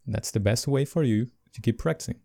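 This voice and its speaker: A man with English as a second language, co-hosting a podcast in casual chat, animated and laughing between points. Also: medium pitch, a little fast. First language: not English. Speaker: podcast co-host.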